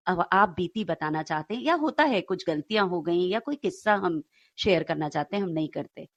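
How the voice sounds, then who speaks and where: A woman's voice: lowish voice, lively intonation, moderate pace, no room sound, highs cut off. Conversational woman co-host, dry close sound. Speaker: co-host of a story show. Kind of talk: conversational show hosting.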